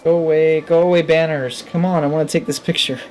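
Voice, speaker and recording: A man in his thirties giving casual game commentary into a headset, thinking aloud in short, low-key remarks. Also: fairly even intonation, brisk, darkish tone, soft background music. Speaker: gamer, let's play host. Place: indoor room at a gaming setup.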